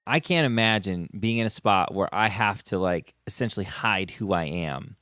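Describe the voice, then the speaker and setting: A man's voice: lowish voice, animated, normal speed, sharp tone, smooth, no echo, clean studio sound. A man hosting a casual interview, supportive and conversational, with rambling questions. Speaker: podcast host interviewing.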